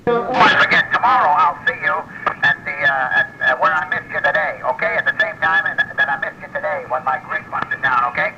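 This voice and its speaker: A man's voice: a little high, animated, fairly quick, creaky. A man talking as an amateur radio operator in a folksy, rambling, mildly profane way, chatting casually over shortwave radio. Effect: shortwave radio transmission. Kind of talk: casual ham radio chat. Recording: noisy, muffled 1980 shortwave radio contact.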